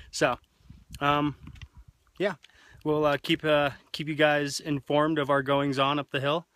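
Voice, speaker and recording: A man's voice: fairly high pitch, little pitch movement, slow pace, pauses often, a bit bright, dry recording. A man in his thirties talks casually and jokingly as a family vlog host, recording himself on a handheld camera out on a hiking trail. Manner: jokey, informal. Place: outdoors on a canyon hiking trail. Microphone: handheld camera at arm's length.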